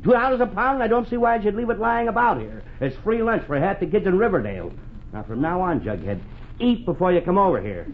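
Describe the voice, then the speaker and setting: A muffled, noisy old radio recording carries a middle-aged American suburban dad. He is exasperated and tight-fisted, scolding with big, swooping swings of pitch. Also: very animated, fast talker. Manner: blustery, emphatic scolding. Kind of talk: 1940s radio comedy acting.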